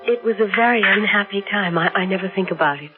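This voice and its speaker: An actress playing a guarded, enigmatic young bride in a 1970s radio drama, reserved and wistful, speaking with measured tenderness. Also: female voice, mid-range voice, narrow, tinny audio, close to an everyday voice. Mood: guarded, evasive. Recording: band-limited old radio broadcast with music.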